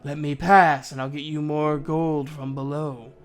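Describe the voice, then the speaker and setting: A man playing a daring dark elf adventurer in a storybook tale, calm and cool-headed. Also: a little high, unhurried, music in the background, lightly acted. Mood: bold, calm. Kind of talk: storybook character dialogue.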